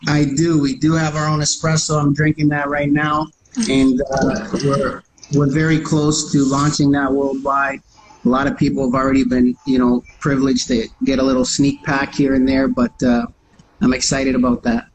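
A man's voice: mid-range voice, completely flat delivery, moderate pace, noisy background. Canadian man with a slight New York accent. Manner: friendly, restarts phrases often. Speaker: actor guest. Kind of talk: casual interview chat.

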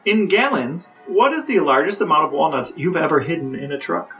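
A man with a fairly high voice chats as a podcast co-host, a little away from the microphone. His manner is mock-serious and tongue-in-cheek. Faint music sits behind him. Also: lively intonation, fairly quick, a bit bright, recorded from a distance. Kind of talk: casual chat, tongue-in-cheek interviewing.

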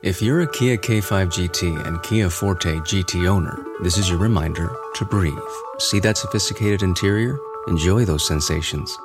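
A man's voice: low voice, normal speed, few pauses, over music. A man reading a conversational scripted car commercial. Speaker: advert voice-over.

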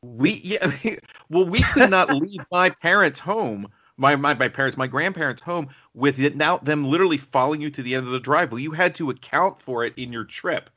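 A man's voice: fairly high pitch, moderate pace, pushed and bright. A man co-hosting a casual film discussion, talking in a rambling, digressive way. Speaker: podcast co-host.

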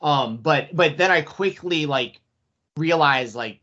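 A man's voice: fairly high pitch, slow pace, sharp tone. 30s guy from atlanta talking wrestling memories, kinda muffled. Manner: chatty, many likes and restarts. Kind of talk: casual wrestling chat.

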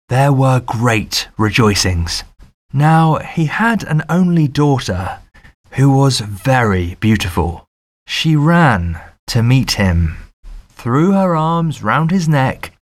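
A man reads a fairy tale as an audiobook narrator. His delivery is very unhurried and deliberate, with a mid-pitched voice. Each phrase is set out in a measured, scripted storytelling style.

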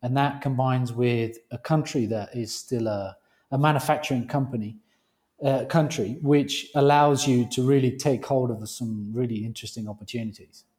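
A British man, a company founder, speaking as a guest on a remote interview call, with halting speech and frequent restarts. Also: medium pitch, fairly even intonation, darkish tone. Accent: British English. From Britain. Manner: halting, restarts phrases often.

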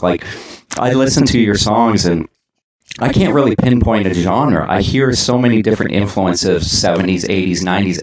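Canadian man, interview host, very deep voice, gravelly, laid-back, rambling questions. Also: rough recording. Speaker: podcast interviewer. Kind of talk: casual interview chat.

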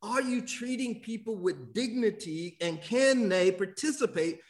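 A man from Miami, a guest author answering interview questions in a thoughtful, halting way with many fillers and restarts. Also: sits high, expressive. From Miami, USA.

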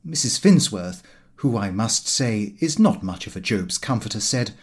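A man reading a comic first-person diary aloud in an expressive, fussy storytelling manner. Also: lowish voice, moderate pace, slightly rough. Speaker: audiobook narrator.

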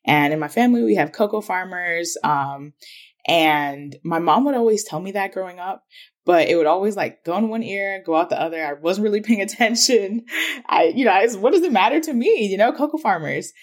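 A woman from Ghana, a business owner, telling her story as a podcast guest in a chatty, animated way. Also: medium pitch, fairly quick. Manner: chatty, animated, many fillers. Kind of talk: casual interview storytelling.

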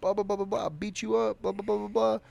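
A cocky teenage boy taunting and bragging in a raised, jeering voice, as a man puts him on while telling a story. The tone is high and mocking, with boastful swagger behind every line. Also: level tone, fast talker, vocal fry, a little mellow. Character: brash threatening teenage boy.

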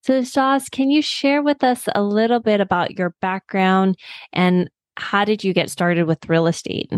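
A woman's voice: mid-range voice, fairly even intonation, unhurried, no echo. A woman hosting an interview podcast, speaking in a prepared, presenter style. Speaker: podcast host. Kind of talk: scripted podcast introduction and interview.

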